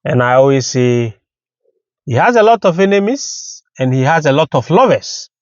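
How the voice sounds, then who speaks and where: A man from Ghana with a Ghanaian accent giving unscripted commentary in halting, deliberate short phrases. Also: fairly high pitch, big pitch swings, no room sound, dull audio. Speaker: channel host and commentator.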